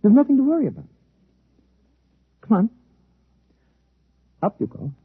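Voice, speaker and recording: An actor playing a rational, reassuring science officer with the rank of major in a 1950s radio drama. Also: male voice, high-pitched, huge pitch swings, unhurried, phone-quality sound, very noisy, heavily acted. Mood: brisk, reassuring. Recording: thin, dry, band-limited old radio recording.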